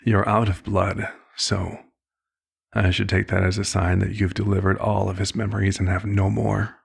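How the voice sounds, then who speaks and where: Male actor as fatherly vampire doctor for ASMR roleplay: low-pitched, gravelly, measured and formal, concerned therapist temperament. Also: little pitch movement, heavily acted. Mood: dry, thoughtful.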